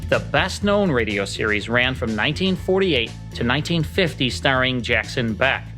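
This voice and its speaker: A man's voice: mid-range voice, animated, normal speed, sharp tone. An American man reading scripted narration as the host of a podcast. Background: music.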